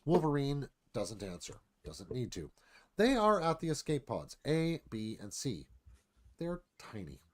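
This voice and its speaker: Man, screenplay narrator, mid-pitched, expressive range, clipped dramatic delivery. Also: unhurried.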